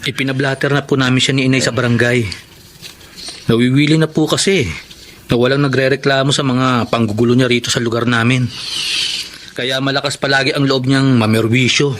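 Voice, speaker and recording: A respectful grown son, played by a man with a mid-pitched voice. He is polite and earnest, in Filipino radio drama style, with faint noise. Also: fairly even intonation, brisk, a bit bright, close to an everyday voice.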